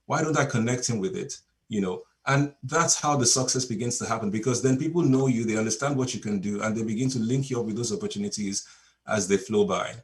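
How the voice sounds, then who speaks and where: A man's voice: fairly low pitch, quick pace. Nigerian man coaching on a video call. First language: Yoruba. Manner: storytelling, relaxed, chuckles between points. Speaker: coach and speaker. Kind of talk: webinar talk to a video call.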